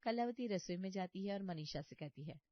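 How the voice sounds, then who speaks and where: A woman's voice: medium pitch, fairly quick, dry recording, lo-fi sound. A woman reading a scripted story as its narrator.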